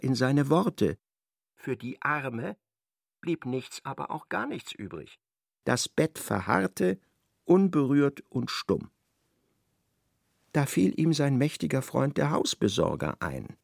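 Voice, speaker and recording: A man narrating a literary radio play in a dramatic, expressive, scripted style. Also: medium pitch, vocal fry, dry recording, clean studio sound.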